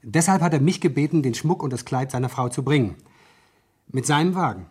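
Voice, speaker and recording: An actor playing a polite, nervous office manager in a 1970s German radio crime play, hesitant and eager to explain. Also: male voice, mid-range voice, fairly quick, darkish tone, slightly rough, lightly acted.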